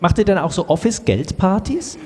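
A man's voice: high voice, sing-song, fairly quick, warm tone. A man playing an ageing, jovial children's TV host with curious, teasing questions in an improvised audio play. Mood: amused teasing. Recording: very echoey hall, clear background noise.

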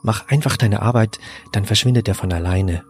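A man as a murmuring, low-voiced shy sous-chef. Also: normal speed, faint music behind, natural voice. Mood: pensive, subdued. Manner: murmuring, hesitant. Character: pensive, diplomatic male sous-chef, shy and hesitant. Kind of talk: audio drama acting.

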